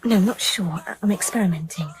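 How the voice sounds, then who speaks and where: A woman's voice: medium pitch, quick pace, noisy background, close to an everyday voice. A young woman playing an anxious student lover, hesitant and intimate but plucky, in radio drama style. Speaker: actor in a radio crime drama. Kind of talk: naturalistic radio drama acting.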